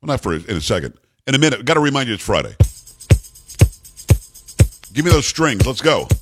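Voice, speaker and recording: A man with a deep bass voice hosting a talk radio show, loud and upbeat, bantering with his studio crew. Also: big pitch swings, brisk, breathy edge, faint music behind. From New York. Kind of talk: energetic talk radio monologue.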